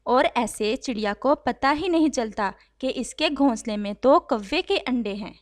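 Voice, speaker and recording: A woman with a high-pitched voice tells a children's story. She reads scripted narration as the storyteller of a cartoon fable. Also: lively intonation, unhurried.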